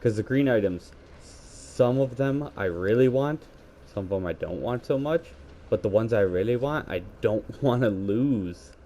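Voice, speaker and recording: A man's voice: medium pitch, animated, a little slow, dark tone. A man with a scratchy voice giving rambling play-by-play commentary while gaming, talking into a headset. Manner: rambling, self-correcting play-by-play. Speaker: gaming video creator.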